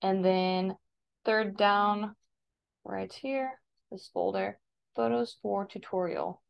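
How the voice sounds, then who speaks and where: An American woman teaching a step-by-step software tutorial in an informal, instructive way. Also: medium pitch, fairly even intonation, slow pace, vocal fry, clear, resonant tone, highs cut off. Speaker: digital design teacher. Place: voice-over for a screen recording.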